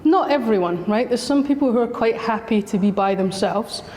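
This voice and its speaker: A woman's voice: a little high, expressive, moderate pace, a little mellow, a little hiss or hum. A Scottish woman working as a school counsellor, giving a friendly, chatty talk to parents with a slightly sore throat. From Scotland. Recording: echoey hall recording.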